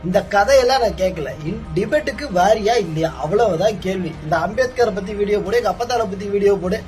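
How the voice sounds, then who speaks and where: High-pitched, mocking Tamil Nadu man. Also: fast, a little mellow, soft background music. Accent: Tamil Nadu, colloquial. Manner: mocking, challenging. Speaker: political social media commentator. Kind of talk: informal combative video rant.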